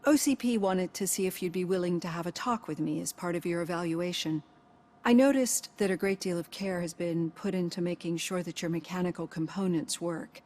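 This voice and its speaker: A polite but persistent female psychologist played by an actress, with a fairly low voice. Her delivery is measured and professional, in video game style. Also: darkish tone, lightly acted. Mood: polite, earnest.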